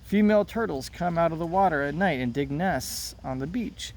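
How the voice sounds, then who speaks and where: A man reading the part of a friendly, enthusiastic young girl in a children's play, in a bright, encouraging manner. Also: fairly high pitch, lively intonation, moderate pace. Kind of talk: read-aloud of a children's play. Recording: noticeable background noise.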